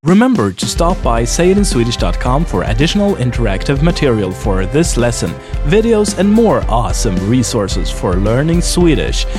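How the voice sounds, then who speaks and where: A man reading an upbeat promotional outro for a language lesson, quick and lively. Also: medium pitch. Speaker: announcer. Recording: voice over guitar music.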